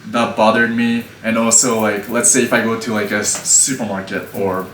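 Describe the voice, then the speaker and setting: A man's voice: lowish voice, little pitch movement, moderate pace, a bit bright. A man in his twenties who grew up in Japan, with Japanese as his first language, speaking English easily and filling his talk with "like" as an interview guest. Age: 20s. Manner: easygoing, leans on filler "like". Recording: roomy, distant mic, faint background hum.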